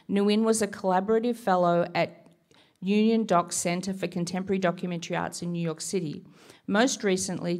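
A woman's voice: on the low side, a little mellow. A middle-aged woman with an Australian accent, moderating a panel on stage and reading a guest's introduction from notes. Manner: measured, reads from notes. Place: stage before an audience. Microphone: handheld mic.

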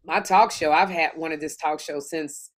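A woman in her fifties with a low, deep voice talks in a lively, casual way. She tells her stories with energy and slips into acted-out quotes as she goes. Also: normal speed, a little hiss or hum. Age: 50s. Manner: animated storytelling, acts out quotes. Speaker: podcast co-host. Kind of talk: casual faith-filled chat.

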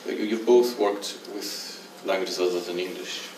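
A deep-voiced older man moderates a panel on stage, restarting his questions as he goes. The sound comes from a distant mic in a reverberant hall with a steady noise floor. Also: measured pace. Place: stage in a dim theatre hall. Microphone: far camera. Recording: echoey hall from far off.

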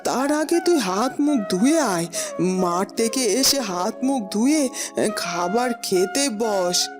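A woman's voice: on the high side, lively intonation, slow pace, music in the background. A woman playing a doting older village mother in a cartoon fairy tale, coaxing and fussing over her son. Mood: affectionate.

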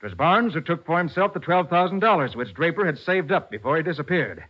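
An actor playing a brisk, commanding master detective in a 1940s radio drama, clipped and authoritative. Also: male voice, on the high side, animated, husky. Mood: triumphant. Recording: muffled 1940s radio recording.

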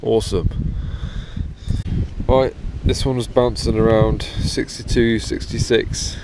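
A man's voice: mid-range voice, little pitch movement, slow. A middle-aged man chatting casually to himself as he digs up finds with a metal detector on a beach, recorded on a head-mounted action camera. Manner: chatty, upbeat, talks to himself while searching. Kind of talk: casual commentary while digging. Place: windy sandy beach outdoors. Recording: loud wind buffeting an action camera mic. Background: loud wind noise.

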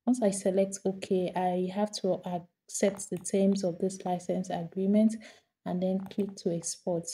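Calm woman. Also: mid-range voice, measured pace. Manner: steady step-by-step instruction, repeats each step. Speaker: tutorial host. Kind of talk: screen-recorded software tutorial.

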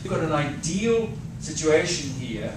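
A middle-aged man coaching a trainee teacher, brisk, directive and encouraging. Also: on the high side, big pitch swings, background noise. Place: large classroom. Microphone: far camera. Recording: echoey room from far off.

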